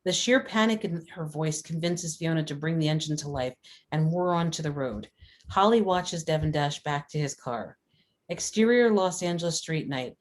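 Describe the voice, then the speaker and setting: Woman, low-pitched voice, script narration, reading scene headings and action for a table read. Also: lively intonation, normal speed. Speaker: stage directions reader.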